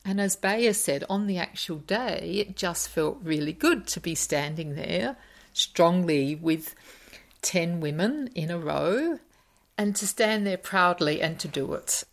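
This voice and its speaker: A woman's voice: on the low side, lively intonation, a little slow. A woman telling her part sincerely in an interview. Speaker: activist interviewee. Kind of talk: interview answer.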